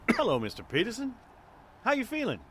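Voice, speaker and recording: Male actor as folksy American detective, high voice, animated pitch, polite, probing, audio drama. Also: moderate pace, a little hiss or hum, exaggerated voice. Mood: affable. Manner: folksy, polite, hesitant lead-ins. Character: mild-mannered, shrewd male police detective, quietly probing.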